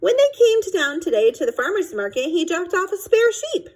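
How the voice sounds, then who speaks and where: A woman's voice: extremely high pitch, very animated, brisk, voice pushed up. A woman voicing a mischievous young girl in a storybook, innocent and eager to explain herself. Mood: earnest explaining.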